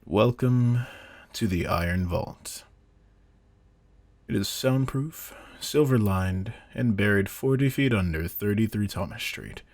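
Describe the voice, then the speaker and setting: A man playing a veteran vampire hunter in an audio drama, a controlled, intense mentor who speaks in a deliberate, commanding, quietly menacing way. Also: low-pitched, dry recording, full character voice. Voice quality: gravelly, husky. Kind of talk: scripted roleplay audio drama.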